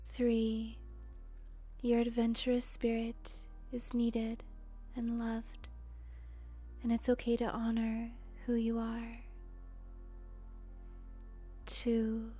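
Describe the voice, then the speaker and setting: A woman leading a guided meditation in a soft, breathy, soothing voice that often trails into a near-whisper, deliberate and gentle with pauses. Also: fairly high pitch, monotone. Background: soft music.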